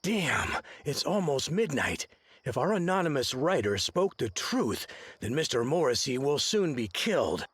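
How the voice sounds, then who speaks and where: Gentleman private detective for an adventure game: articulate, theatrical, crisp and lively in pitch. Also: unhurried, no echo, exaggerated voice. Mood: urgent, intrigued. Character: earnest, observant male private detective, gentlemanly. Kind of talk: scripted adventure game dialogue.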